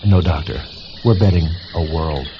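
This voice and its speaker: A man playing a matter-of-fact space station chief in a science fiction radio drama, measured and explanatory with dry humor. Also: very deep voice, noisy background. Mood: grave, matter-of-fact. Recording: muffled lo-fi audio with music behind.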